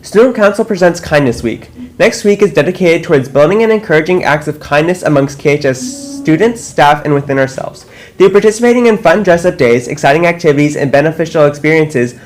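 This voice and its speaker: A man's voice: on the high side, a little fast. A teenage boy co-anchoring a school news show, reading announcements steadily with small slips. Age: teens.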